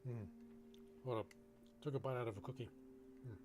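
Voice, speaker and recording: A man's voice: medium pitch, steady tone, fairly quick, background noise. A man streaming a video game, casually commenting on play and reading on-screen text aloud. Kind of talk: live gameplay commentary, reading dialogue aloud.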